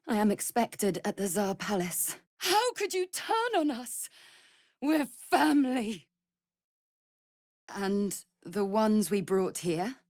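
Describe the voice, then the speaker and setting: A woman's voice: medium pitch, big pitch swings, takes it slowly, a little mellow, no room sound, clean studio sound. An actress playing an earnest, anxious female vampire spawn, a loyal sister who speaks formally, in a video game. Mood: pleading. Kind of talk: dramatic video game voice acting.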